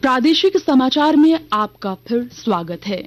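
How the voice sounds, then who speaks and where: A woman reads a scripted regional radio news bulletin in a formal, measured manner. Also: high voice, lively intonation, lo-fi sound. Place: radio studio.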